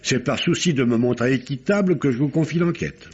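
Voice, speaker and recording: Husky, formal magistrate played by a male actor. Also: mid-range voice, darkish tone, lightly acted. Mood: grave, earnest. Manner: formal, measured storytelling with dramatic emphasis. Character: courteous, fair-minded 19th-century male examining magistrate. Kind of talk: classic French radio drama acting. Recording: dull, lo-fi old radio recording.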